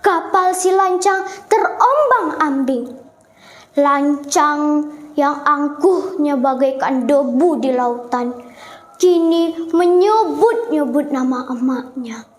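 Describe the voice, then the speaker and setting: Very high-voiced, slow young girl storyteller. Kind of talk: dramatic folk tale storytelling. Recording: echoey room.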